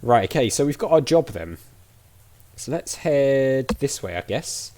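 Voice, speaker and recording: Lively, chatty man commentating on a game. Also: mid-range voice, a little slow, noisy background. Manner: chatty, thinking aloud. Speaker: let's play host.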